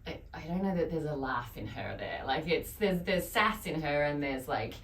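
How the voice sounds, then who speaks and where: A woman's voice: low voice, lively intonation, normal speed, fairly bright tone. A woman directing actors in casual talk, thinking aloud and searching for words as she goes. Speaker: director of an audio drama. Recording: roomy, distant mic, faint noise.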